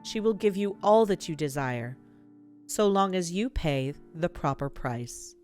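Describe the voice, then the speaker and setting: A woman voicing a mysterious old hooded man, a slow, solemn tempter from a fantasy game story. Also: fairly low pitch, lively intonation, a little mellow, faint music behind. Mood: grave.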